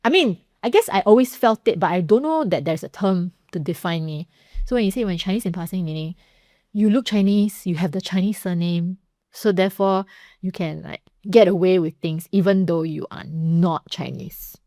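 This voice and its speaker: A young Singaporean woman with a Singaporean accent chats easily as a podcast host, filling her stories with "like" and "you know." Her voice sits slightly low. The sound is dry and close, with no room echo. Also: normal speed, warm tone. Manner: chatty, storytelling, frequent "like" and "you know". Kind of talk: casual conversational interview.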